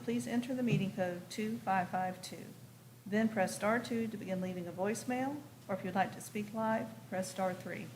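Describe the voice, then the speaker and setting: A woman's voice: mid-range voice, a little mellow, slight background noise, poor audio. An American woman chairing a formal public meeting, moving through procedure and announcements.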